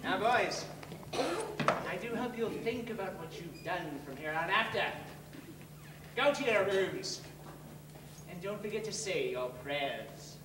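A man's voice: sits high, lively intonation, slow, long pauses. A man playing a stern, pious nun in a stage play. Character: stern, pious nun disciplining orphan boys. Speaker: stage actor. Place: theatre stage. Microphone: far camera. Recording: echoey, very noisy, distant stage recording.

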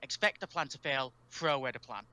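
A man's voice: mid-range voice, brisk, crowd noise, lightly acted. A man playing a cocky, sardonic survivor in an improvised zombie roleplay.

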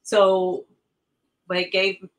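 A woman's voice: mid-range voice, completely flat delivery, drawn-out words. A middle-aged woman whose first language is Italian, chatting animatedly as a guest on a video call. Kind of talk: casual video call chat.

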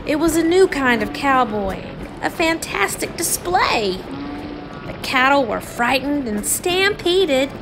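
A woman reading a children's storybook aloud with expressive, deliberate, theatrical phrasing. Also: sits high, noisy background. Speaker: storybook reader.

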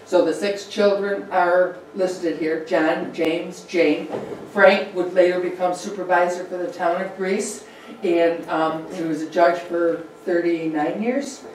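A woman's voice: lowish voice, steady tone, slow pace, darkish tone, slight background noise. Woman presenter, chatty history talk, heavy room echo, distant mic. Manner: chatty, loose, with fillers. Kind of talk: slide-show history talk. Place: meeting room with projector. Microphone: far room mic.

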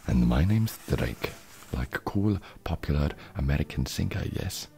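A very low, slow-talking vampire acted by a man with a mock foreign vampire accent. He is soft-spoken, halting and awkwardly friendly, desperate to seem trendy in an ASMR comedy. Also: animated, creaky, echoey room, soft background music, full character voice. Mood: fondly gossiping. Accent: put-on foreign vampire accent.

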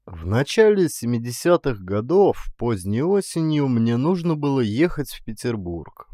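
A man narrating a literary story as an audiobook actor, with deliberate, dramatic phrasing and weighty pauses. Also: medium pitch, sing-song, dark tone, no echo, clean studio sound.

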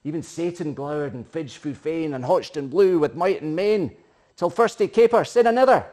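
A middle-aged man with a Scottish accent giving a theatrical, emphatic recitation of a narrative poem in Scots, swelling and hushing as he goes. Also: fairly high pitch, moderate pace. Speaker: poetry reciter. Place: living room, indoors.

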